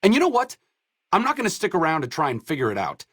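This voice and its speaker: An actor playing a sardonic, principled doctor and researcher in a post-apocalyptic video game, speaking in a dry, articulate, wry way. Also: male voice, on the high side, wildly swooping pitch, fast, fairly bright tone, no echo, exaggerated voice. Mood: scornful.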